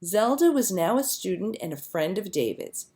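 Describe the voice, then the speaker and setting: A woman reading a children's story aloud as a narrator, with expressive storytelling delivery. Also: mid-range voice, normal speed. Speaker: storybook reader.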